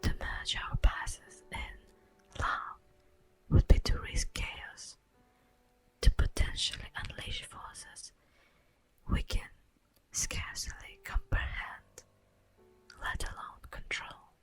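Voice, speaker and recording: A woman playing a solemn, tender elf guardian of the forest in a breathy, whispered ASMR roleplay, speaking formally and poetically. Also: faint music behind, lightly acted. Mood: solemn, grave. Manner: slow, formal, poetic, drawn-out delivery.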